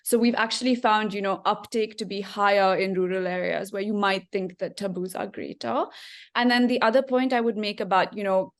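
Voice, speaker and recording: A woman from India speaking as a panelist, explaining her points in an articulate, structured way with examples. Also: medium pitch. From Bangalore, India. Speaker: panelist, femtech founder.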